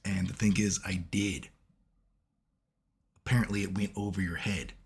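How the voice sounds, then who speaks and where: A man, hoarse from being sick, giving casual analytical commentary as a video host and checking in with "right?" at nearly every turn. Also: low-pitched, flat delivery, moderate pace, slight background noise. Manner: keeps asking "right?" as he lectures, swears casually.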